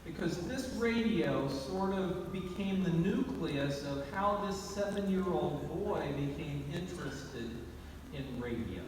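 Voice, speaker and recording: Man storytelling in a very echoey hall. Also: on the high side. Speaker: award presenter. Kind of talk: storytelling award speech. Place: large banquet or awards hall over PA. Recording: very echoey hall, distant mic, noisy.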